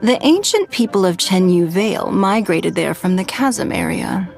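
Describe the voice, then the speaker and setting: A calm female adventurer in a fantasy game, mid-pitched with noticeable creak. She speaks thoughtfully and with lively intonation over faint music. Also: moderate pace. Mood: pondering. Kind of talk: video game voice acting.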